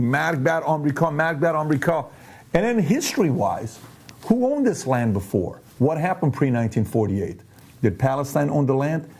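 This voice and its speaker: A man born and raised in Iran hosts a commentary show, posing pointed questions and walking through charts in an emphatic, animated way. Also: a little high, slightly rough. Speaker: show host.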